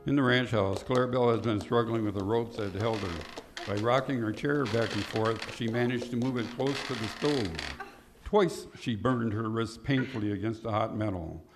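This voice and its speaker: A man's voice: fairly low pitch, normal speed, background noise. A man narrating a scripted Western in old-time radio drama style.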